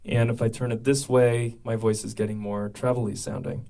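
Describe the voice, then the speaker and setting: Male instructor, mellow voice, casual step-by-step tutorial delivery. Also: mid-range voice, flat delivery, normal speed, vocal fry. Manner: explains step by step with many fillers and restarts. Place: room with a mixing board and speaker.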